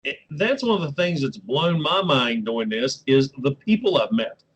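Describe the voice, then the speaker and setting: A man's voice: medium pitch, animated, normal speed, a bit bright, slightly rough. A man from West Virginia with a West Virginia accent, hosting a casual interview in a laid-back, folksy way and laughing easily. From West Virginia, USA. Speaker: YouTube show host.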